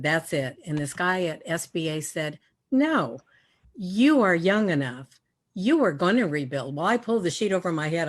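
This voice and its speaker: Lowish voiced woman telling her story on a video call, earnest, laughs at herself. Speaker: auctioneer and realtor. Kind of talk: casual personal storytelling on a panel call.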